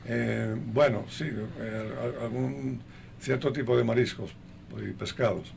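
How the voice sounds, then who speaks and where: An older man being interviewed in a conversational way, speaking haltingly and listing things one by one, with a gravelly voice. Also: medium pitch, fairly even intonation, darkish tone, a little hiss or hum. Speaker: interview guest.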